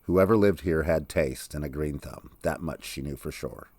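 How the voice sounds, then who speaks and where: Very deep male audiobook narrator. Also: moderate pace, darkish tone, dry recording. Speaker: author reading own audiobook.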